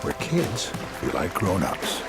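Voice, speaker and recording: A man narrates a poetic advert in a lowish voice. He reads slowly with very varied intonation, over loud music. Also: huge pitch swings, creaky.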